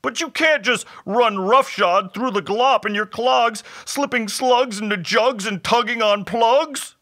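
A man's voice: very high voice, measured pace, fairly bright tone, pitched above the performer's own voice, exaggerated voice. A man voicing a tearful, indignant hobgoblin from a storybook, with a slobbery, wailing manner. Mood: alarmed howling. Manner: sobbing, wailing, slobbery howl. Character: tearful, pleading, indignant male bog hobgoblin.

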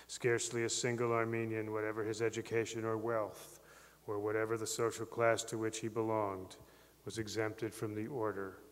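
A middle-aged man reading a historical account aloud at a podium, grave and even. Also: on the low side, normal speed. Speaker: reader at a literary memorial. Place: large ornate library hall. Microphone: podium mic on a stand. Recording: long hall reverb.

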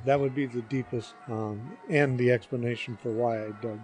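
An older man answers questions as a guest, in a medium-pitched voice. He talks haltingly and casually with dry humour, over faint music. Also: steady tone, darkish tone. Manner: halting, dryly joking. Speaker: interview guest, father of the hosts.

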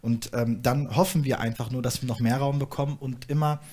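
A man's voice: mid-range voice, steady tone, a little fast, a little mellow. A young man on a studio panel, explaining his views earnestly with frequent fillers. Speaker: panel guest, activist. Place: studio stage with small audience.

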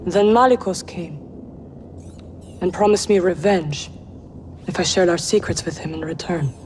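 An actress voicing a proud, wary young witch in a video game, speaking in a measured, solemn, terse way. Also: fairly low pitch, darkish tone, slight background noise, close to an everyday voice. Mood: somber, bitter. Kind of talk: video game cinematic acting.

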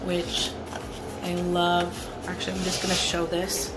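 A woman's voice: lowish voice, monotone, unhurried, low-quality recording. A woman chatting casually as she shows off her freshly cleaned bathroom at home, upbeat and repeating herself. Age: adult with a son. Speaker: cleaning vlogger. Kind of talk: casual show-and-tell vlog. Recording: music playing behind her voice.

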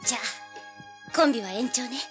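An actress playing a plucky, emotional young girl in an audio drama, girlish, earnest and expressive. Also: fairly high pitch, a little fast, music in the background, lightly acted. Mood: soft, relieved. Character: plucky, emotional young girl, the hero's treasure-hunting partner. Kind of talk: 1980s audio drama acting.

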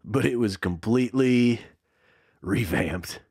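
A man's voice: mid-range voice, slow, darkish tone, breathy edge, dry recording, clean studio sound. A man playing a wry veteran musician, folksy and salty, reminiscing in a mock documentary interview. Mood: nostalgic, admiring.

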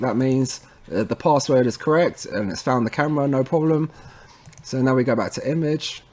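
A man's voice: medium pitch, a little mellow. Man giving a casual how-to walkthrough. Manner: informal, addresses viewers as guys, frequent tag okay. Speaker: tutorial presenter, installer.

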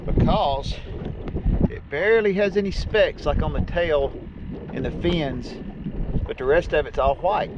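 A man's voice: a little high, unhurried, pauses often. An older man giving casual, folksy, understated commentary for a fishing vlog from a small open boat. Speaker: fishing vlogger. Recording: windy outdoor noise, muffled action-camera sound. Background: loud wind and water noise.

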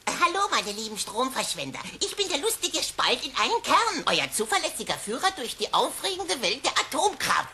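A perky cartoon mascot sells atomic power with jaunty showmanship. The voice is high and piercingly bright, and it swings wildly in pitch. Faint noise sits behind it. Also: female voice, big pitch swings, moderate pace, hardly any pauses, full character voice. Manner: jaunty, showy presenting. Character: perky cartoon atom mascot, glib cheerful salesman. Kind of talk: parody educational film in cartoon audio play. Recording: faintly noisy cassette.

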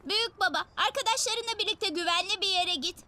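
A woman's voice: extremely high pitch, fast talker. A woman voicing a brash, impulsive young boy hero in a Turkish cartoon dub. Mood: worried, bold. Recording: loud music behind.

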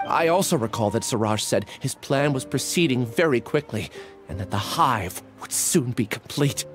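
An earnest young scholar speaks in a mid-pitched, apologetic voice, as an actor plays him. Game music sits clearly behind him. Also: male voice, animated, moderate pace, fairly bright tone, close to an everyday voice.

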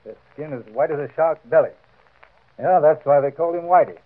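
Hard-boiled police inspector played by an actor, tinny radio. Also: male voice, a little high, brisk, natural voice. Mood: wry, dismissive. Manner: wry, slangy, hard-boiled. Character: worldly, matter-of-fact male police inspector. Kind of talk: 1940s radio drama acting. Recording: tinny vintage radio recording.

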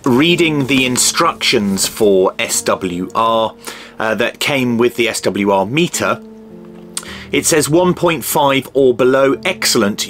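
A man's voice: medium pitch, fairly bright tone. A middle-aged man presenting a casual hobby tutorial, explaining step by step in a hesitant way.

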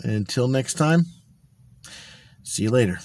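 A man's voice: medium pitch, animated, unhurried, a little mellow. A man in his forties giving casual, wry walking commentary while he films around an empty building. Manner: chatty, wry asides to the viewer. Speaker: vlogger exploring abandoned places. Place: outdoors around an empty building, later in a car. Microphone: handheld phone camera.

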